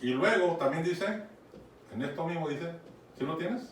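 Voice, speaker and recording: A man's voice: fairly high pitch, lively intonation, moderate pace. A man with a Mexican accent preaching a conversational Bible sermon, often checking in with his listeners. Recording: echoey room, from far off, faint noise.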